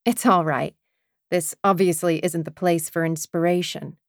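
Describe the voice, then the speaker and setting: A woman's voice: on the low side, steady tone, moderate pace, dry recording, natural voice. A woman voicing a guarded, wry female playwright in an audiobook, with a curt, dry manner.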